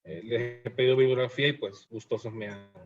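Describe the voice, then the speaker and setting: A man from El Salvador describes his research plan to his class in a measured way, often tagging his sentences with questions. He speaks from a video call, and the sound comes through dull and low-fidelity. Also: fairly even intonation. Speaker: doctoral student.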